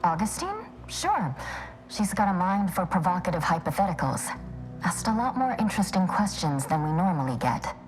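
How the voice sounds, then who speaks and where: A woman's voice: on the low side, sing-song, normal speed, soft background music, poor audio. A woman playing a sardonic, argumentative scholar with a blunt way of talking in a video game. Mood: blunt, matter-of-fact. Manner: sarcastic, blunt. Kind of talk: video game dialogue acting.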